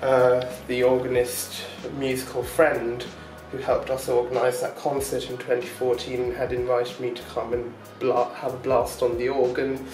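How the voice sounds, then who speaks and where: A man's voice: medium pitch, completely flat delivery, moderate pace, hardly any pauses, reverberant, distant mic, music in the background, low-quality recording. A man talking casually to his vlog audience, rambling and chatty with lots of ums. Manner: rambling, chatty, with many fillers and short laughs. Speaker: vlogger. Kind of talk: casual daily vlog chat.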